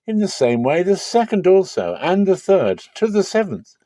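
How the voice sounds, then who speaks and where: A man voicing sly, flattering questioners who test a teacher in a dramatic scripture reading. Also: high-pitched, moderate pace, dry recording, clean studio sound, voice pushed up. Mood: probing, needling. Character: smooth-talking questioners slyly testing a teacher.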